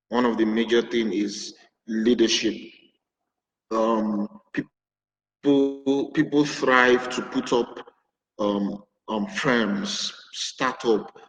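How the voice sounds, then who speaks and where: Slow, hesitant Nigerian man on a call. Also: mid-range voice. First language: Igbo. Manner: hesitant, many restarts and fillers. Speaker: interview guest, web developer. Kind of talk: remote interview answers. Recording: roomy, echoey call audio with long reverb.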